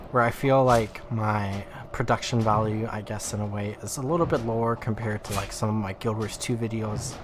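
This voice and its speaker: A man's voice: on the low side, background noise. A man with a nasal voice from allergies, casually rambling as he comments on a video game he is playing. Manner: rambling, lots of "like" fillers. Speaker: gaming YouTuber. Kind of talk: casual let's play commentary.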